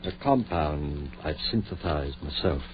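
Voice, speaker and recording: An actor playing an obsessed, grieving surgeon-scientist, fervent and commanding, in a vintage radio horror drama. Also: male voice, a bass voice, lively intonation, a little slow. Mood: intent, brisk. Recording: muffled, hissy old radio recording with hum.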